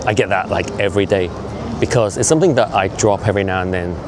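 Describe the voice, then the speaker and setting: A man in his fifties speaks in a low voice, earnest and opinionated, with a chatty vlog manner. He is walking outdoors on a city street. Traffic and street noise come through clearly behind him. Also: quick pace. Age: 50s. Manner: opinionated, earnest, conversational with frequent asides. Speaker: vlogger. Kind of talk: casual walking vlog monologue. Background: traffic and urban street noise.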